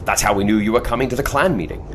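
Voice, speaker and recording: An actor playing a courteous, confident leader of secret agents in an adventure audio drama, speaking in a genteel, theatrical way. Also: male voice, fairly low pitch, very fast, a little hiss or hum, close to an everyday voice. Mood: brisk, assured.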